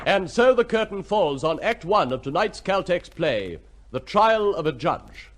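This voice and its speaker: A male radio theatre announcer with a high voice and sweeping intonation. He presents formally and theatrically against a very loud crowd-like din. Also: very animated, a little slow, heavy background noise.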